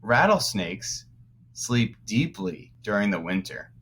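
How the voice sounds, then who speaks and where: Slow-paced man reading aloud to children. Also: on the low side, very animated, fairly bright tone, husky. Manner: explanatory, pausing to clarify words. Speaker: first-grade teacher. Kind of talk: read-aloud lesson for young children.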